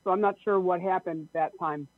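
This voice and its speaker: High-pitched man on a tinny line. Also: fairly even intonation, moderate pace, no room sound. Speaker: cast member reading stage narration. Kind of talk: practical, casual talk. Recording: tinny, phone-like video-call audio.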